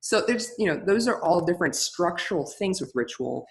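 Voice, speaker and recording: A woman with a big, loud voice teaching in a webinar, talking through ideas in a chatty way with frequent restarts. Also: fairly low pitch, animated, fairly quick. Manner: chatty, many restarts and fillers. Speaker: ritual facilitation teacher. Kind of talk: webinar teaching, answering a question.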